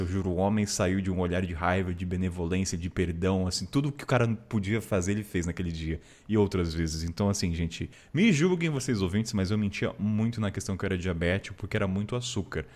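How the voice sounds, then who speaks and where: A man with a Brazilian accent hosting a casual podcast, telling stories in a playfully theatrical way. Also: sits low. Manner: playfully theatrical, refers to himself in third person. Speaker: travel podcast host. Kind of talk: casual chat, animated storytelling.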